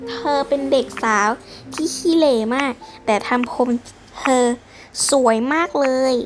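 Really high girly voice doing a school skit, slow n drawn out, exclamations, music in the back. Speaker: storyteller voicing a homemade game skit. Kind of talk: improvised schoolgirl role-play drama. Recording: loud music behind the voice. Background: loud music.

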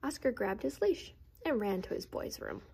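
A woman storyteller reading aloud to children in a playful, expressive manner. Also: fairly high pitch, normal speed, gravelly, slight background noise. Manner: playful, expressive, with gasps and interjections. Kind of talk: animated children's read-aloud.

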